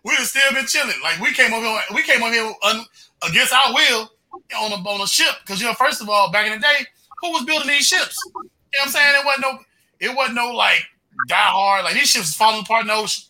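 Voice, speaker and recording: A man's voice: very high voice, animated, fast, pushed and bright, rough recording. A man in casual podcast conversation, speaking in loose slang and often checking in with his listeners. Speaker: podcast panelist. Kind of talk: casual chat.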